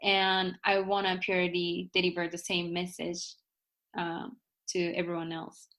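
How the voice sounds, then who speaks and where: A woman's voice: lowish voice, monotone, unhurried, fairly bright tone. A young woman from Japan with a Japanese accent, a guest author talking casually in an interview, full of "like" and restarts. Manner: many fillers like "like" and restarts.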